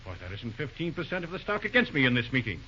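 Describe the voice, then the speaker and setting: A man's voice: medium pitch, sing-song, fast talker, bright, forceful tone, a little hiss or hum. An actor playing a pompous, cocksure American businessman who blusters and flusters easily in an old-time radio drama. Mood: defiant, cocky. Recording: dull, lo-fi old radio recording.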